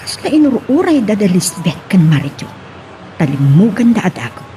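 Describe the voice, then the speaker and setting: Lady playing a watchful drama schemer, soft dark tone, highish and swoopy, faint noise. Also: sing-song, normal speed, lightly acted. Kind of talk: audio drama acting.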